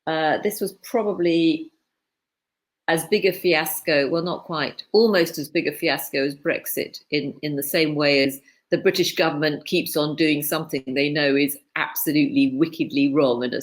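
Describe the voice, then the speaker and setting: Low-voiced older woman, chatty and wry. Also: normal speed. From East Africa. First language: Swahili. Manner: chatty, wry, trails off mid-thought. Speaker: guest. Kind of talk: casual conversation.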